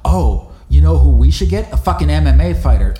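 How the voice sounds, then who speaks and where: A man's voice: fairly high pitch, expressive, a little fast, warm tone. Blunt, profane man in an echoey room. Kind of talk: casual banter. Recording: echoey room with background noise.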